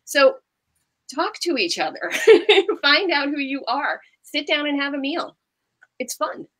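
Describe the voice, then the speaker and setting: A woman's voice: sits high, sing-song, a little slow, bright, forceful tone. A woman from New Jersey, a guest on a live video chat, giving upbeat, encouraging advice. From Maplewood, New Jersey.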